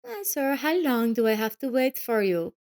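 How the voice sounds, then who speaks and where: A woman's voice: a little high, sing-song, moderate pace, darkish tone, pitched above the performer's own voice. A woman voicing a spoiled, capricious young girl with an impatient, demanding manner in an audio story.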